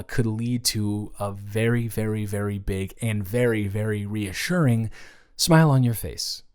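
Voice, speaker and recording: A man's voice: fairly low pitch, a little mellow, close to an everyday voice. A man playing a nervous, smitten roommate in an improvised romantic roleplay audio, rambling and stammering in intimate one-sided talk. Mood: giddy, excited. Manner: stammering, rambling, giddy, halting. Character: nervous, smitten male roommate confessing love.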